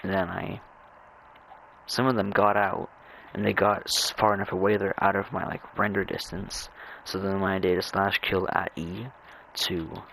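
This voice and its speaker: Creaky, low-voiced young man, stammering. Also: level tone, fairly bright tone, slight background noise, low-quality recording. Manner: halting, stammering, repeating words. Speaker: gamer narrating own gameplay. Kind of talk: rambling casual chat over gameplay.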